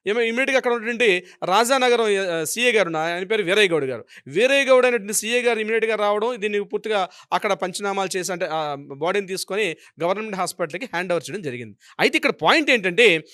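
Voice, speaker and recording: A Telugu man speaks as a legal expert in a high voice and at a quick pace. He explains emphatically, circling back over points and posing questions as he goes. The sound is close and dry. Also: lively intonation, bright, forceful tone, no room sound. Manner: emphatic, repeats himself and poses rhetorical questions. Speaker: advocate commenting as a legal expert. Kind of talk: explanatory legal news commentary.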